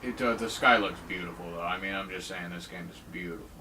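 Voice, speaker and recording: A man's voice: deep voice, fast, bright, forceful tone, distant mic, slight background noise, rough recording. A man giving jokey, rambling let's-play commentary on a game, with mock-dramatic outbursts. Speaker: gaming video host.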